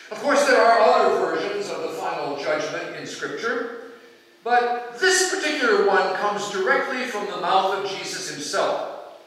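An older man delivers a sermon in a high-pitched voice for a male speaker. He takes his time, speaking deliberately with weighty pauses. The sound is very echoey, washing around a large church from a distant microphone. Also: bright, forceful tone. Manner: measured, deliberate, with rhetorical questions and pauses. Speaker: pastor preaching. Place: large church sanctuary, indoors.

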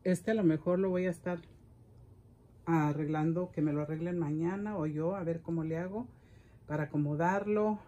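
A woman's voice: on the low side, steady tone, normal speed, mellow, lo-fi sound, a little hiss or hum. A middle-aged woman chatting casually for a vlog, rambling and repeating her words. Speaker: vlogger. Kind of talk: casual gift-haul vlog chat. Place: indoors in a home room.

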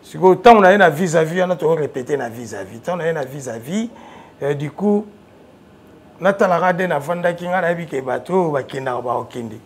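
Gravelly-voiced Congolese man telling stories. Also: fairly high pitch, fairly quick. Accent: Congolese French. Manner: animated storyteller, rising loud when excited. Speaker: interview guest, musician. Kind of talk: casual interview talk, mixing French and Lingala. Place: TV studio, seated on a sofa.

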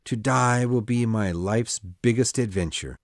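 A woman's voice: rumbling low, a little slow, darkish tone, no room sound, clean studio sound, pitched below the performer's own voice. A woman voicing a bold, stubborn boy hero from a storybook, speaking firmly and dramatically. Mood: solemn, brave. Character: Peter Pan, bold stubborn boy hero, brave and brusque. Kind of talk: storybook character voice.

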